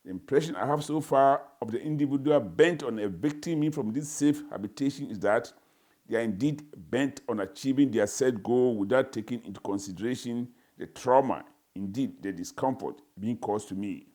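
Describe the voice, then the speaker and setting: A man's voice: medium pitch, moderate pace, darkish tone, natural voice. A man with a Ghanaian accent voicing an indignant newborn baby in a dramatized reading, with formal, florid, pompous phrasing. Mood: pompous, aggrieved. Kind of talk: dramatized first-person reading.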